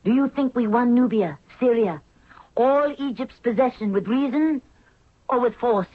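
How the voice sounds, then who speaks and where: A woman's voice: a little high, moderate pace, close to an everyday voice. A woman playing a hard-willed, commanding Egyptian queen in a 1970s radio drama, with a firm, imperious delivery. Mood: firm, persuasive. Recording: tinny narrow-band old radio recording.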